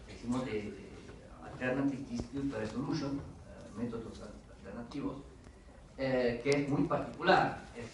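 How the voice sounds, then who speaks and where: A man with a foreign accent gives an academic lecture, pausing often with fillers and restarting phrases. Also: medium pitch, fairly bright tone, a little hiss or hum. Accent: foreign accent in Spanish. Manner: hesitant, many fillers and restarts. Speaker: guest lecturer. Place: lecture hall. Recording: echoey hall, mic from a distance.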